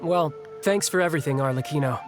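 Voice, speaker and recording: A man's voice: on the high side, fast talker, music in the background, lightly acted. A man voicing a cheerful young male warrior in a video game, easygoing and friendly.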